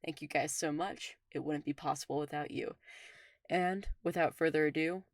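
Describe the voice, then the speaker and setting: Low-voiced woman, dry podcast introduction. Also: steady tone, normal speed, no room sound. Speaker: podcast host reading supporter thanks. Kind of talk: scripted show introduction.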